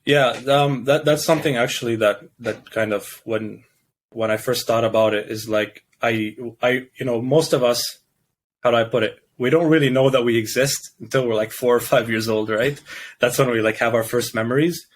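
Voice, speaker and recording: A young man born and raised in Canada, a guest in a casual interview, who talks haltingly with many restarts and fillers. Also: medium pitch, fairly even intonation.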